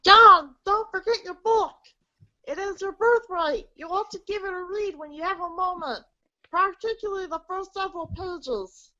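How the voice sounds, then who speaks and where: A woman's voice: very high voice, moderate pace, pushed and bright. A woman voicing a fond, giggly old grandmother ghost who makes cryptic, theatrical proclamations in an amateur reader's theater play. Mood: doting, cryptic. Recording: dull lo-fi recording.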